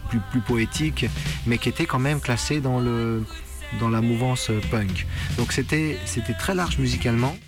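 A middle-aged man from France reminiscing in a documentary interview, searching for words and repeating himself as he goes. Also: mid-range voice. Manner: halting, searching for words with repetitions. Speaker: punk musician interviewee. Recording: loud music behind the voice.

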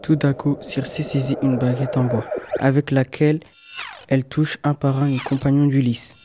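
Male story narrator over loud music. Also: mid-range voice, level tone, normal speed.

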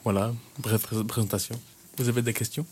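A man's voice: mid-range voice, steady tone, brisk, darkish tone, a little hiss or hum. A man in his twenties who grew up in Kenya and works as a youth worker, answering interview questions in a hesitant way, with frequent fillers and restarts. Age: 20s.

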